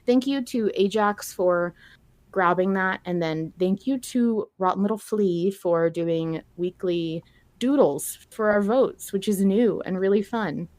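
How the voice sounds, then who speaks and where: A woman's voice: medium pitch, a little slow, a little mellow, no room sound. A woman hosting a podcast in a casual, conversational style. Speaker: podcast host.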